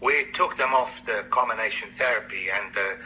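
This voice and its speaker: An actor playing a grave, dejected medical researcher in a radio drama, halting and formal in his phrasing. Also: fairly low pitch, pushed and bright, slight background noise, rough recording. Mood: dejected, apologetic.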